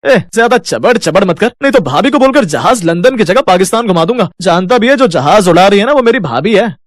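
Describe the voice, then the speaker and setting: A man voicing a cocky, hot-headed young brother-in-law in an animated story, brash and boastful. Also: high voice, a little fast, no echo. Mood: cocky, threatening. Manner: brash, mouthy.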